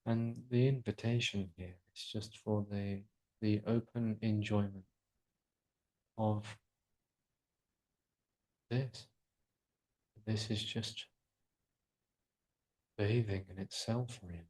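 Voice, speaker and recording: A man guiding a relaxation meditation in a soothing, unhurried way, pausing between short phrases. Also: lowish voice, fairly even intonation, a little mellow, low-quality recording. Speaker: yoga nidra guide.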